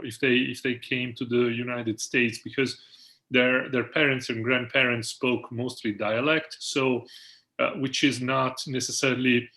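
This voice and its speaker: A man's voice: medium pitch, bright, forceful tone. A man from Florence, a native Italian speaker with an Italian accent, interviewing in a hesitant way full of "uh" fillers. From Florence, Italy. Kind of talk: casual conversational interview.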